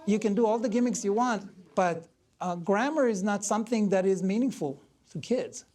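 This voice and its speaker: A school board member speaking in an interview with a medium-pitched voice. The delivery is halting, with pauses and repeats while searching for the right words. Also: animated, a little mellow. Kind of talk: interview answers.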